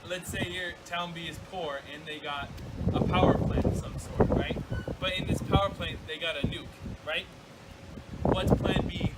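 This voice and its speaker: A man's voice: sits high, fairly even intonation, normal speed, lightly acted. A man in an amateur drama playing a friendly, musing drifter with folksy, rambling phrasing. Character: friendly, musing male drifter troubled by bad dreams. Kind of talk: amateur scripted student drama. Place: outdoors in wind. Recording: windy outdoor noise, clicks, distant mic.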